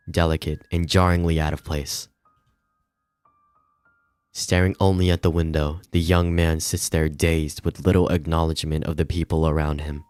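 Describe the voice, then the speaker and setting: A man reading a visual novel aloud as dramatic narration, with measured, somber phrasing. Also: extremely low pitch, a little mellow, smooth, no echo.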